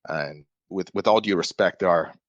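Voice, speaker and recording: A man's voice: deep voice, normal speed, dry recording, muffled recording. A man from Malaysia, a podcast guest, explaining things thoughtfully in casual talk, often restarting his sentences. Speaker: podcast guest, software developer. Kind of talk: casual interview chat.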